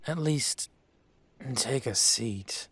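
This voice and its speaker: A man playing an aging dragon mafia boss in a roleplay audio drama, speaking in a smooth, deliberate, courtly manner with veiled menace. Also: mid-range voice, fairly bright tone, dry recording, heavily acted. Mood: suave, courteous. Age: older.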